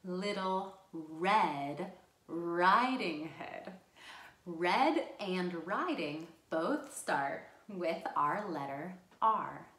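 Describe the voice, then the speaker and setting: A woman's voice: fairly low pitch, lively intonation, drawn-out words, fairly bright tone. A woman hosting an interactive storytime for young children, speaking directly to them in an encouraging, sing-song way. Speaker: children's storytime host at a library. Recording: roomy, echoing sound.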